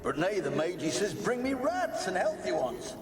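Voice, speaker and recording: A man's voice: high-pitched, very animated, normal speed. An actor voicing a ghostly male spirit in a video game, with a lilting, mocking delivery. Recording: echoey ghostly game audio. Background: loud game music.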